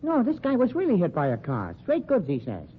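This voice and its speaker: Casting: dopey, evasive henchman, quick and lively, stammering slang, old radio crime play. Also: high voice. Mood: sleepy, casual. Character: dim, nervous, sleepy male night-shift funeral home flunky. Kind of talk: old-time radio crime drama acting. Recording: tinny, noisy old radio recording.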